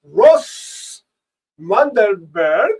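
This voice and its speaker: A man from America, hosting a panel conversation among rabbis in a halting, word-repeating way. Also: far from the mic. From Latin America. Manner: halting, repeats words, animated pitch. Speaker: host, rabbi.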